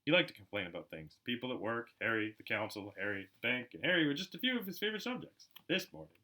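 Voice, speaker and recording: A man narrating a storybook aloud in an expressive, dramatic way, as an amateur audiobook reader. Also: medium pitch, fast talker. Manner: animated, dramatic.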